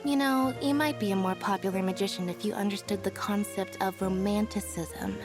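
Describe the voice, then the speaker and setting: Cool young game heroine, female actor: middle register, deadpan, lightly scolding, over clear background music. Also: medium pitch, a little fast, lightly acted. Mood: dryly chiding. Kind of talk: video game character acting. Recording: music under the voice.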